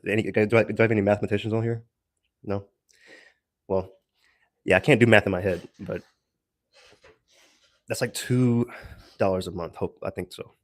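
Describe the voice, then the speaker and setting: A man giving a casual, rambling audio log as a solo creator, haltingly searching for words with self-deprecating asides. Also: fairly low pitch, steady tone, no echo, studio quality.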